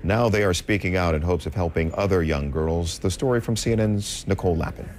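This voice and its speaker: Low-voiced male news anchor. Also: darkish tone. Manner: measured, conversational anchor delivery.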